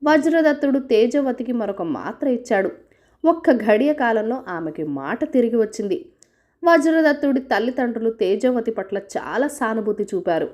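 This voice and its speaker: A woman's voice: a little high, expressive, moderate pace, a little mellow. A woman reading a scripted folk tale as a storyteller.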